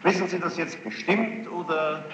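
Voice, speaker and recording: A man's voice: a little high, fairly even intonation, normal speed. A man formally questioning a witness in court, insistent and probing, often repeating answers back. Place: large reverberant courtroom. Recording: muffled, noisy, echoey archival courtroom tape.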